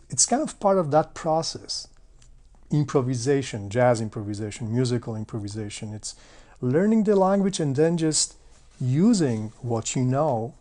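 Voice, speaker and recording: Man, mid-pitched, reflective interview guest who pauses to find his words. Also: darkish tone. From Poland. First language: Polish. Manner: thoughtful, searching for words. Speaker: guest, bassist. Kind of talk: casual interview talk.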